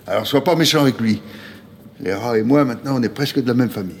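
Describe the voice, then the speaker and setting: A male actor playing a worn, middle-aged homeless man haunted by lost love, colloquial and gruff, in a naturalistic radio drama. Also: mid-range voice, fast talker, darkish tone, slight background noise, close to an everyday voice. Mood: fond, easygoing. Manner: colloquial, gruff, emotionally volatile.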